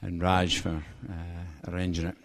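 Older male, Glasgow-area Scottish, lowish pitch, halting dry-humoured testimony speaker at a mic. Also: monotone. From Scotland. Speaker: guest main speaker. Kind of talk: personal testimony speech to a gathering. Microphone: event microphone.

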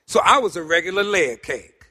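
A man with a New York accent speaks in a high-pitched, forceful voice that rings through a reverberant hall. He tells his own story like a seasoned storyteller, animated and humorous, leaning on pauses and repetition for emphasis. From Harlem, New York City, United States. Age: middle-aged or older. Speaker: speaker at a recovery meeting. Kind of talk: personal testimony told to an audience with humor. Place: meeting hall, speaking to a group. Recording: echoey hall with long reverb.

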